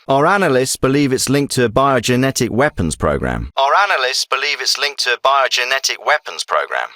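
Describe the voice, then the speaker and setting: A man's voice: medium pitch, bright, forceful tone, no echo, studio quality. A man voicing a businesslike military intelligence handler in a video game, giving mission briefings with clipped, purposeful delivery.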